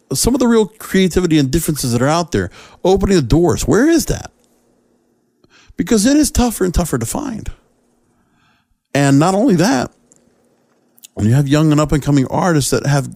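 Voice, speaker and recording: A man's voice: medium pitch, a little mellow, no echo, studio quality. A man hosting a solo podcast, giving conversational opinion commentary on entertainment news, often asking rhetorical questions and rephrasing himself mid-sentence. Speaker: podcast host.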